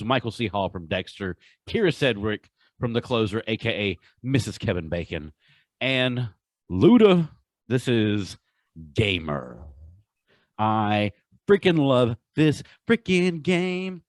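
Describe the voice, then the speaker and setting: A man's voice: fairly low pitch, no echo. A man co-hosting a podcast in casual chat, talking with enthusiasm and emphasis. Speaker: podcast co-host.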